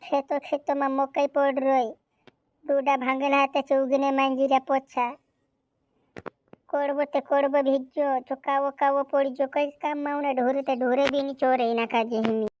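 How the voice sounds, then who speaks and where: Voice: high voice, flat delivery, moderate pace, highs cut off, a little hiss or hum, natural voice. A performer voicing a cartoon village farmer who laments in repetitive, doubled words for a regional comedy. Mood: lamenting.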